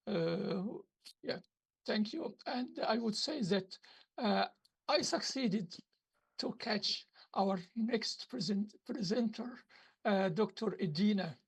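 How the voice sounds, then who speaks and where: A woman chairs an online academic session, stopping and restarting as she thanks presenters. Her voice is strongly fried and gravelly, with a rough, husky edge throughout. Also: medium pitch, animated. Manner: halting, repeats words, polite thanks. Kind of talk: moderating an online conference.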